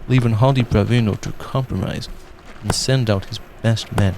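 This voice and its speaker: A young man narrating a radio drama in a measured storytelling way. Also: on the low side, fairly even intonation, slight background noise. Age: young adult. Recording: narration over loud music.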